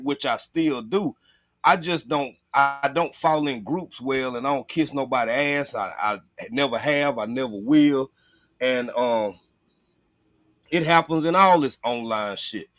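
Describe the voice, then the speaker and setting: A man's voice: on the high side, fairly bright tone, no room sound. A man chatting casually on a livestream in a blunt, profane, informal way. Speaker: livestream host.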